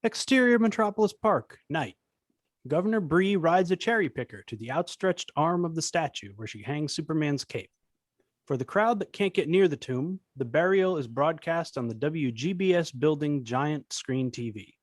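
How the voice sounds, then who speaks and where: A man narrates a screenplay, reading its stage directions. His voice is a touch high, and the recording is close and dry. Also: expressive, normal speed, no echo.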